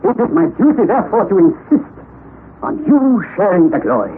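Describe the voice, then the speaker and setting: An actor playing an excitable, eccentric older scientist uncle in a vintage radio drama, theatrical and declamatory. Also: male voice, sits high, moderate pace. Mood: eager. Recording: tinny, noisy old radio recording.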